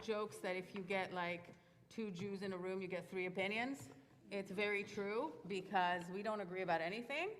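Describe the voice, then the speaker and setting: A woman's voice: mid-range voice, a bit bright, a little hiss or hum. Woman explaining in an echoey hall. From Israel. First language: Hebrew. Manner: chatty, informal, lots of fillers. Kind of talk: explaining to a youth group. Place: synagogue sanctuary.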